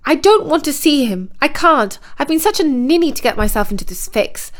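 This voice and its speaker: A woman's voice: high-pitched, very animated, a little fast, voice pushed up. A woman voicing a young princess turned frog, self-pitying, ashamed and dramatic, in a storybook. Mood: distraught.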